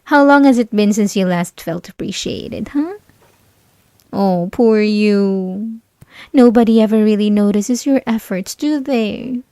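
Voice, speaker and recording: Possessive young female bully for ASMR roleplay, played by a woman: unhurried, mellow, condescending, sweetly menacing, close and dry. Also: medium pitch, no room sound, close to an everyday voice. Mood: condescending, coaxing. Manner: taunting, condescending, teasing, with breathy whispered asides. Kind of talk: ASMR roleplay, intimate scripted monologue to listener.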